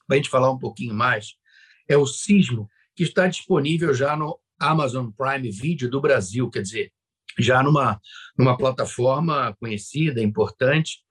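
A man's voice: mid-range voice, normal speed, a bit bright, breathy edge. A man with a Brazilian accent hosting a conversational interview in an informal manner. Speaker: interviewer, host.

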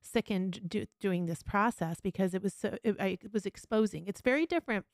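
A woman's voice: mid-range voice, a little fast, dry recording, clean studio sound. A woman speaking candidly and earnestly in a conversational podcast interview, with emphatic personal storytelling as host.

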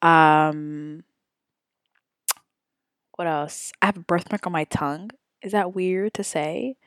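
A woman's voice: low-pitched, fairly even intonation, slow pace, dry recording, clean studio sound. A woman in her twenties hosting her own podcast, rambling casually with lots of ums and self-corrections. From Saudi Arabia. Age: 20s. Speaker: podcast host. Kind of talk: casual solo podcast chat.

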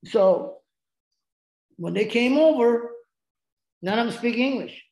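A man's voice: very high voice, animated, slow, a little mellow, echoey room, rough recording. A man whose first language is Japanese, speaking English with a Japanese accent and telling stories in a casual, rambling way with lots of restarts. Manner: rambling, frequent restarts and asides. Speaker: podcast guest. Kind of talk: casual storytelling.

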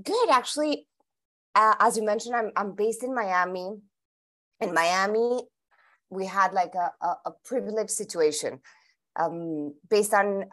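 A woman's voice: medium pitch, a bit bright. A Colombian woman whose first language is Spanish, answering interview questions in English with many restarts and repeated words as she thinks aloud. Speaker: guest.

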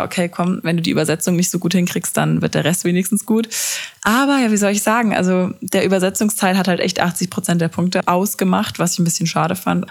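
A woman in her twenties hosting a podcast, chatting casually and self-deprecatingly and mixing in English words. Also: lowish voice. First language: German. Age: 20s. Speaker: podcast host. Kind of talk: casual chat.

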